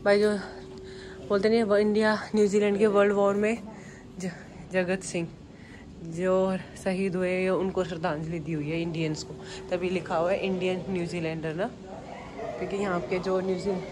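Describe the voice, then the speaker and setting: A woman's voice: lowish voice, flat delivery, moderate pace, a little mellow. Young indian woman vlogging on her phone, chatty, lots of background noise in a big museum. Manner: chatty, addresses viewers directly. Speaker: travel vlogger. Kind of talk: casual walking tour vlog. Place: large indoor museum halls. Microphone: handheld phone at arm's length. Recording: noisy phone recording in a busy museum.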